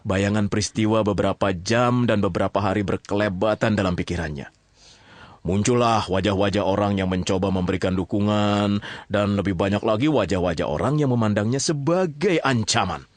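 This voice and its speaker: Low-pitched man telling a story. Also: fairly quick, no room sound. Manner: colloquial, checks in with listeners often. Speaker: narrator. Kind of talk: conversational storytelling in an audio drama.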